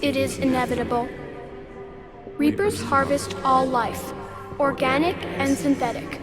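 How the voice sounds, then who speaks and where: A woman playing an ancient, calm and superior machine intelligence in a video game, speaking in a measured, formal way. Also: high voice. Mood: cold, certain. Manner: measured, formal, detached, didactic. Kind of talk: video game cutscene acting. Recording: loud music behind the dialogue. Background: loud game score.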